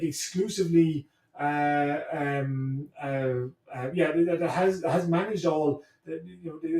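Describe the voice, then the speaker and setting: A man, a guest in an interview, speaking thoughtfully and haltingly as he searches for words. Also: a little high, a little mellow. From Ireland (Cork). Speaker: guest, history professor. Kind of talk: reflective conversational interview. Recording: echoey room, distant from mic.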